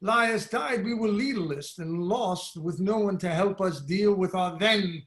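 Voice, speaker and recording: An actor playing a grave, urgent royal envoy in a dramatic reading of Greek tragedy, measured and insistent, recorded over a video call. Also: male voice, high-pitched. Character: grave, urgent Theban royal envoy bringing an oracle's command. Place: indoor room on a video call. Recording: roomy, echoing video-call audio.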